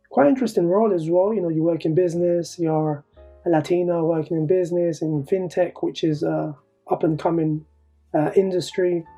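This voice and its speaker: A man hosting a podcast interview, asking questions in a relaxed, encouraging way. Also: a little high, vocal fry, husky, poor audio. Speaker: podcast host. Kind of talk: casual interview.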